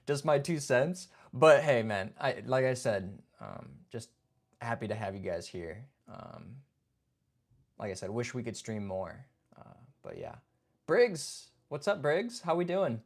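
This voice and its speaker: A man's voice: medium pitch, lively intonation, slow, pauses often. A young man hosting a casual livestream chat. He reads viewer comments, greets people by name and keeps saying "man" and "dude". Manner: laid-back, chatty, says "man" and "dude". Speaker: livestream host.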